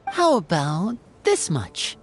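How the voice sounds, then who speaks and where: Resolute female adventurer: low register, expressive, formal, courteous, faint music underneath. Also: takes it slowly, heavily acted.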